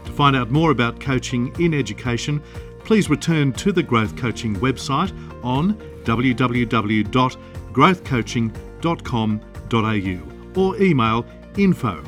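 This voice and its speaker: A man's voice: medium pitch, animated, a little slow, a bit bright. Male podcast host over faint music. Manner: polite, scripted-sounding questions and sign-off. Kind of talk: podcast interview and outro.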